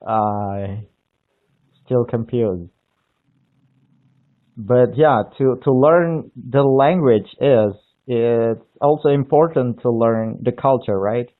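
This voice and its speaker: A man from Indonesia, speaking English with an Indonesian accent in casual chat, halting and restarting often as he searches for words. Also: mid-range voice. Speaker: language learner on a live video call. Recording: tinny phone-like line.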